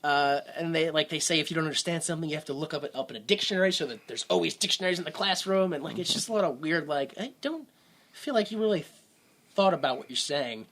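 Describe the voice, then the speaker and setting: A man's voice: on the high side, expressive, fast talker, fairly bright tone. A man co-hosting a casual movie podcast, rambling with sarcastic asides. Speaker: podcast co-host.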